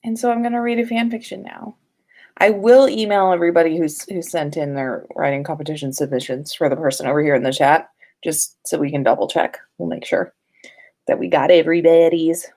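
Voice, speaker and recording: A woman's voice: sits low, fairly even intonation, a little fast, darkish tone. A woman hosting a livestream, chatting casually and reading stories aloud in an informal, playful way. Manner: informal, playful, sweary, self-interrupting. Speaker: podcast host reading listener fan fiction.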